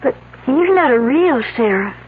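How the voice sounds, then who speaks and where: An actress playing a frightened young female student in a radio drama, timid, anxious and halting. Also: sits high, animated, husky, full character voice. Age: young adult. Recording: thin telephone-like old radio broadcast.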